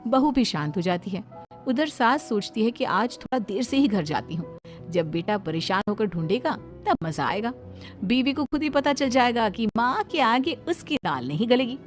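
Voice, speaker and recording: A woman's voice: a little high, sing-song, normal speed, soft background music. A woman giving scripted narration of a moral story.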